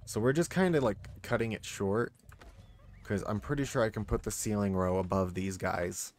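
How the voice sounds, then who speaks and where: A man narrating his gameplay in a casual, muttering way, his voice going hoarse and now and then breaking into loud frustrated outbursts. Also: lowish voice, moderate pace. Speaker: gaming channel host.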